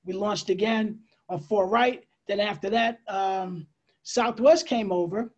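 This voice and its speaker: A middle-aged man with an American accent explains slides as an air traffic controller, conversational and a bit halting, with pauses and asides. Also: high voice, a little mellow, dull audio. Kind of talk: informal slide presentation, explanatory. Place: indoors, on a video call.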